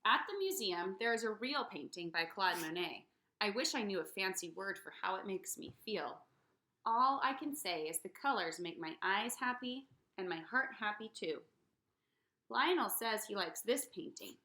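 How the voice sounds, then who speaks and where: A woman reading a children's picture book aloud in an expressive, dramatic way for young listeners. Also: mid-range voice, normal speed. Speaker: read-aloud storyteller.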